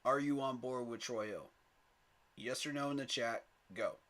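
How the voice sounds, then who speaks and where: A man's voice: medium pitch, normal speed, fairly bright tone. A man hosting a live sports fan stream, talking straight to his chat in an emphatic, pleading way and chuckling at rival fans.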